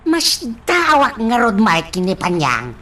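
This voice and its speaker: A woman playing a chatty, excitable household helper in an Ilocano comedy radio drama, exclamatory and theatrical, with an Ilocano accent. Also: fairly high pitch, measured pace, heavily acted. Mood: indignant surprise. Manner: exclamatory, theatrical, repeats catchphrases.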